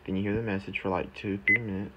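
A man talking himself haltingly through a gadget in a casual, unscripted walkthrough, recorded on a handheld phone. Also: on the low side, little pitch movement, lo-fi sound. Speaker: amateur product demonstrator. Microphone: handheld phone camera. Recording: very noisy handheld recording with button beeps.

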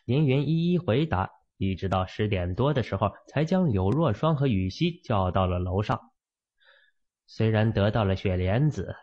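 Male narrator for dramatic fiction audiobook, lower register, dull lo-fi sound. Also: a little mellow.

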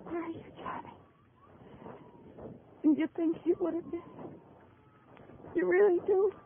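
Dying American widow, faltering and high-pitched, bitter yet devoted, vintage radio drama, noisy muffled sound. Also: female voice, steady tone, unhurried. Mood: fading, wistful. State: weak, faltering, near death.

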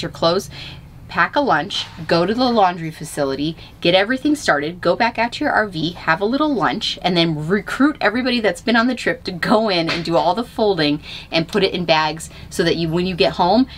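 Chatty low-pitched American woman, noisy room. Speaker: vlogger sharing RV living tips. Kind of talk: casual instructional vlog talk to camera. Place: inside an RV living space and bedroom. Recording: clear background ambient noise.

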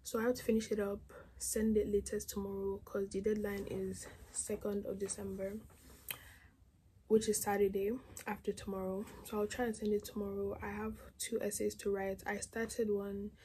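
A chatty young woman in her teens talks to a phone held at arm's length in her bedroom. Her voice sits at an ordinary middle pitch, and she rambles easily with plenty of "like." A faint hum of room ambience sits behind her. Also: little pitch movement, moderate pace, mellow. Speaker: vlogger. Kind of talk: casual vlog chat to camera. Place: bedroom at home, indoors. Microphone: phone camera at arm's length.

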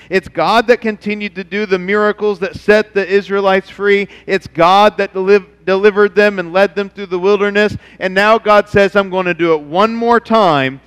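Middle-aged pastor preaching from the stage, smooth and resonant, long church reverb. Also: male voice, high voice. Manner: animated preaching, acts out God's voice in quotes. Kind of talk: sermon.

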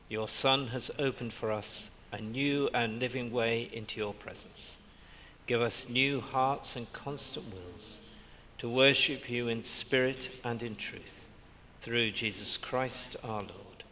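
A man leading prayers as a priest, reading liturgy in a slow, measured way from a lectern in a church. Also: medium pitch, bright, forceful tone. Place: church interior with stained glass. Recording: reverberant church captured from afar with faint background hiss.

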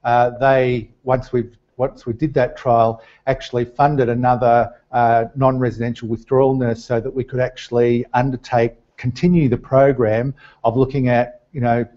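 Hesitant man presenting, flat delivery. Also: medium pitch, moderate pace. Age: middle-aged. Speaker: seminar presenter introducing a speaker. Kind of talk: semi-formal live presentation. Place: small indoor seminar room. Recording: dull lo-fi seminar webcast sound.

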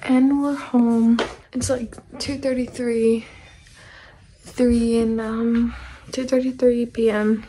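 A woman's voice: a little high, little pitch movement, takes it slowly, noisy background. A young woman chatting casually to camera in an informal, rambling, youthful way, recorded on a handheld camera at arm's length. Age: teens to young adult. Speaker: vlogger. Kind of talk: casual vlog chat to camera.

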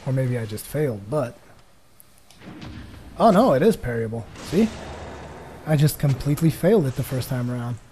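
A young man from Portugal, a native Portuguese speaker, giving jokey rambling let's play commentary on a headset as his voice grows tired and hoarse. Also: medium pitch, expressive, normal speed, pauses often, mellow, a little hiss or hum. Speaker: gaming commentator.

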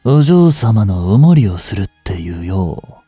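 An actor playing a rough young street punk working as a butler in an audio drama, blunt and teasing, with a grudgingly tender side. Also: male voice, on the low side, sing-song, unhurried, dark tone, no echo, soft background music, exaggerated voice. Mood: grudgingly soothing. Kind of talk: intimate Japanese audio drama acting addressed to the listener.

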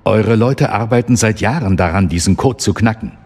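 Stern low-voiced Jedi master. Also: male voice, normal speed, darkish tone, breathy edge. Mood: stern, resolute. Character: serious, resolute male Jedi master in council. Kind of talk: radio drama acting.

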